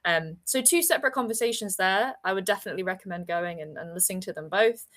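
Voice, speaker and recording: A woman's voice: medium pitch, quick pace, a bit bright. A woman hosting a webinar over a video call, talking in a chatty, enthusiastic way and often laughing. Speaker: webinar host. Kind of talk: casual webinar chat.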